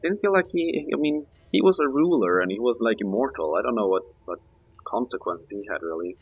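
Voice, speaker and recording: A man giving casual game commentary as a co-op partner, hesitant, agreeable and low-key. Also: lively intonation, a little fast, breathy edge, no echo, dull audio. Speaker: co-op gaming partner. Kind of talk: casual Let's Play commentary.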